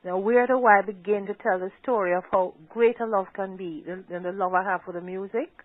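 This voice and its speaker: A woman's voice: medium pitch, fairly even intonation, a little fast, no echo. An older woman, a talkative singer who laughs often, chatting as a guest in a phone interview. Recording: tinny dry phone line.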